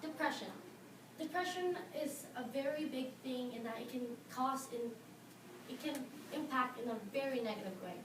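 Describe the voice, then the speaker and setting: A teenage girl from the Philippines with a Filipino accent, giving a prepared speech as a high school student, earnest and halting, with fillers and stressed key words. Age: teens. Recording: echoey room, mic at a distance, noisy.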